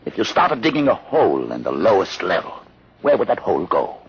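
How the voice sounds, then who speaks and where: An actor playing a learned, commanding older rebel aboard a starship in a radio drama, speaking in a deliberate, theatrical, condescending way. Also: male voice, deep voice, wildly swooping pitch, exaggerated voice. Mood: insistent, commanding. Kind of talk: old-time radio drama acting. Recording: muffled, noisy old radio recording.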